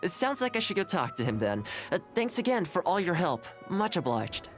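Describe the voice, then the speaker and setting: A smooth, easygoing young man of a video game fixer, speaks with lively, swinging intonation. He is courteous and persuasive, with music playing behind him. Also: sits high, a little fast. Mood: composed, confiding. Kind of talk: video game character dialogue.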